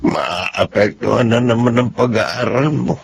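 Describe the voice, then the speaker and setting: Frail, gravelly old Filipino father. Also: mid-range voice, unhurried. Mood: weary, apologetic. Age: older. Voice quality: husky, gravelly. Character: weak, apologetic ageing father, worn down.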